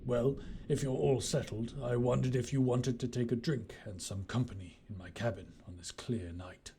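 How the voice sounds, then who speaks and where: A man's voice: medium pitch, fairly even intonation, normal speed, dark tone, a little hiss or hum, lightly acted. A man playing a roguish, mercenary skyship captain with wry, courteous, old-fashioned speech in an immersive audio roleplay. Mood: genial, courteous. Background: ambient sound effects.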